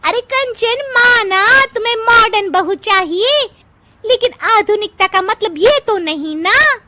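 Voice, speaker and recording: A woman's voice: extremely high pitch, expressive, takes it slowly, bright, forceful tone, slight background noise, pitched above the performer's own voice, heavily acted. A woman voicing a nosy, prim middle-aged female friend in a cartoon moral story. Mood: reproachful.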